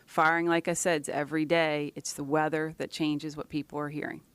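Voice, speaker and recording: A woman's voice: low-pitched, little pitch movement, brisk, clean tone, no room sound. A woman answering interview questions as an environmental scientist, in a matter-of-fact way with short, clipped phrases.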